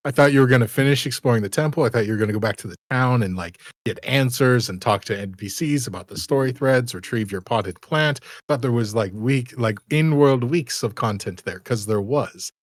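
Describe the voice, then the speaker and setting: A man running a tabletop game as dungeon master, halting and apologetic, laughing often. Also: mid-range voice, dry recording.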